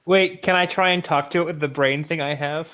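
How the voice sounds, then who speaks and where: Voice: medium pitch, steady tone, brisk, fairly bright tone. A player in an online tabletop roleplaying game, chatting casually in a giggly, tentative way. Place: online voice call.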